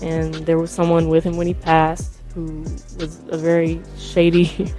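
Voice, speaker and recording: Woman with a lowish voice explaining stuff conversationally, music under it. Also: completely flat delivery, a little slow, mellow. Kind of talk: conversational account.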